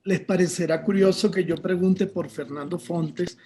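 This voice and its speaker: A man from Táchira, Venezuela, moderates a panel discussion over a video call. His voice sits fairly high but sounds mellow and soft-edged, and he asks his long questions in a relaxed, conversational way. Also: normal speed, a little hiss or hum.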